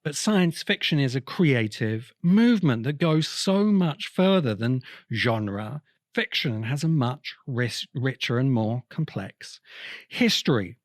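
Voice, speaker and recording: A man hosting a podcast, explaining his argument in a deliberate, emphatic way with pauses. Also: medium pitch, animated, no echo, studio quality. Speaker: podcast host. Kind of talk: explanatory podcast monologue, essay-like commentary.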